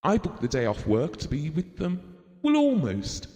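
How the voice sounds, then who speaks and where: An actor playing a devoted, gentle husband and father, telling his memories earnestly and tenderly in an audio drama. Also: a little high, wildly swooping pitch, moderate pace. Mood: wistful. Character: Bill, devoted, gentle husband and father recalling a family tragedy. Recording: reverberant hall-like sound.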